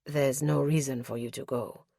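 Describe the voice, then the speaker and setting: A reserved young nobleman speaks in a deep, guarded tone, as a woman reads him. His words are clipped and formal. The sound is dry and close. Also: fairly even intonation, normal speed, gravelly, mellow, breathy edge, no echo, full character voice. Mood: grave. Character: grave reserved nobleman, young adult man.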